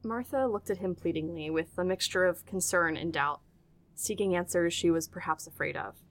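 A woman narrating a scripted audio drama in a measured, literary storytelling manner. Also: lowish voice.

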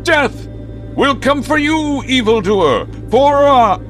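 A man's voice: extremely high pitch, very animated, slow, a bit bright, faint music behind, full character voice. A man playing a grandiose, righteous caped vigilante in old-time radio drama style, with pompous, melodramatic proclamations. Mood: righteous fury.

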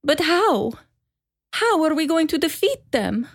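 A man voicing a desperate female mother cat who sobs in a high strained falsetto. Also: big pitch swings, unhurried, creaky, no echo, clean studio sound, heavily acted. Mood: eager.

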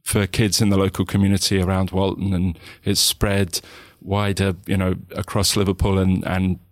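A man with a southern English accent, a guest in an interview, talking reflectively with many pauses, restarts and "you know"s. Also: deep voice, flat delivery, dry recording, studio quality. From England.

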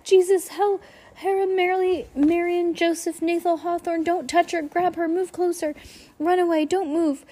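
A flustered middle-aged banker shrieks and babbles in a storybook reading, as a woman reader puts him on. His voice jumps way up into a thin, squeaky register, loud and tumbling over itself. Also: steady tone, moderate pace. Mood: panicked babbling. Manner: panicked high-pitched babbling.

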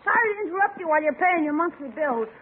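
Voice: high voice, lively intonation, quick pace, narrow, tinny audio. An actor playing an eager, sheepish schoolboy nephew in a 1950s radio comedy. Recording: noisy, thin old radio recording.

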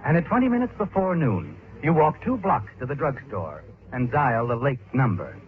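An actor playing a knowing, insinuating narrator of an old radio mystery, telling the story in the second person. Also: male voice, medium pitch, very animated, moderate pace, slight background noise, lightly acted. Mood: knowing, suspenseful. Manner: dramatic, insinuating. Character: knowing, insinuating male narrator goading the guilty protagonist. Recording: tinny old radio recording.

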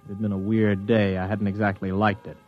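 Spaceman lead male actor: low voice, weary and stubborn, old radio serial with dull sound and music behind. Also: flat delivery, fairly quick, close to an everyday voice. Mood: brooding. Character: weary, stubborn spaceman hero narrating his story. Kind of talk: 1950s radio acting with first-person narration.

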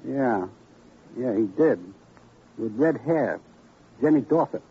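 An actor playing a folksy boxing manager in an old radio crime drama, rambling and repeating himself in streetwise talk. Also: male voice, mid-range voice, expressive, slow pace, slightly rough, full character voice. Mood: regretful, rambling. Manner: repetitive, streetwise, chatty. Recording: muffled vintage radio recording.

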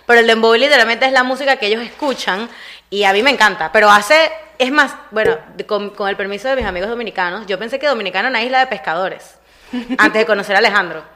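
A woman's voice: medium pitch, fast talker, sharp tone. A Venezuelan woman chatting casually in a group, often restarting her sentences.

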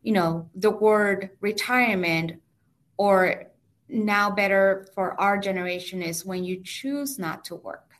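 A woman's voice: mid-range voice, slow pace. A woman with a heavy Mexican Spanish accent, a native Spanish speaker, patiently explaining finances step by step as an interview guest.

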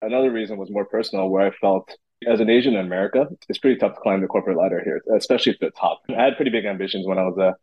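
A man's voice: fairly low pitch, very fast, breathy edge, dry recording, lo-fi sound. A man in his thirties who grew up in Beijing, answering interview questions thoughtfully with frequent restarts. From Beijing, China. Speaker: podcast guest, entrepreneur.

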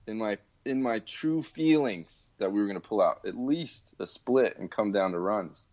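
Man, very deep voice, muffled lo-fi sound, casual sports chat, self-deprecating. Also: expressive, moderate pace, a little mellow. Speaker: podcast co-host. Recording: dull lo-fi sound.

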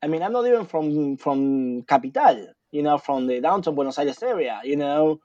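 Guy, kinda high voice, mellow, restarts a lot. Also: expressive, normal speed, dry recording, dull audio. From Argentina. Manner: rambling, many fillers and restarts. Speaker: guest author, sociologist. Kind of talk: casual interview talk.

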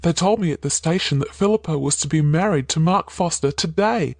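A woman's voice: low voice, fairly quick, darkish tone, dry recording, pitched below the performer's own voice. A woman reading an audiobook, voicing an earnest young man who pleads urgently and then speaks firmly. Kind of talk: audiobook character voice.

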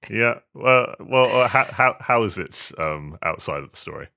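A man's voice: fairly low pitch, expressive, moderate pace, gravelly, pushed and bright. A man chatting casually about video games as a podcast co-host, often stammering and repeating words as he goes. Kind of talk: casual video game chat.

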